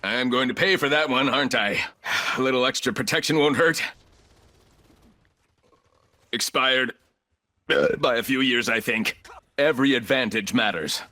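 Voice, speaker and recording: An actor playing an arrogant, ruthless, calculating corporate villain in a video game, speaking in clipped, condescending lines. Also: male voice, mid-range voice, moderate pace, pushed and bright. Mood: dry, disdainful.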